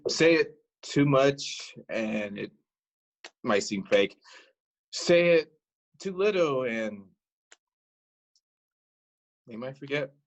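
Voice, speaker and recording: An actor playing a self-deprecating young romantic in a stage monologue, speaking in an earnest, halting, rambling way. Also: male voice, medium pitch, animated, poor audio. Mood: self-doubting, earnest. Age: young adult. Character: lovelorn, self-deprecating romantic young man, stubborn dreamer. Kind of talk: theatrical monologue to the audience.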